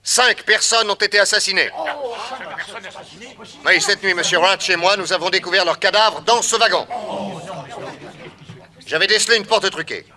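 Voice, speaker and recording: An actor playing a bold detective who addresses officials formally and with authority in a French radio drama. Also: male voice, high voice, moderate pace, pauses often, bright, forceful tone. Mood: commanding. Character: bold, commanding male detective.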